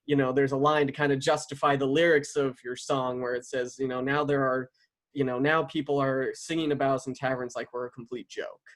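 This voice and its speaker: A man's voice: mid-range voice, level tone, fairly quick. Man, casual interviewer, enthusiastic, talkative, mid-range voice. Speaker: interviewer and series writer.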